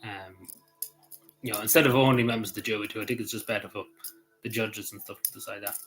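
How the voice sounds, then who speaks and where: A man's voice: fairly low pitch, steady tone, fast talker, a bit bright, soft background music. An Irish man chatting casually on a livestream, answering his viewers in a rambling way, full of "you know" and restarts. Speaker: YouTuber answering live chat.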